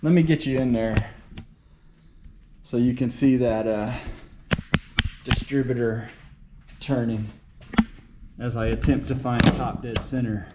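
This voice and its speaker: A man's voice: medium pitch, steady tone, slow, pauses often. Folksy man talking in a noisy echoey space. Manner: folksy, muttering to himself and explaining to camera. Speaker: DIY car repair vlogger. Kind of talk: casual unscripted talk while working. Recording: echoey, noisy, clicking, uneven mic distance.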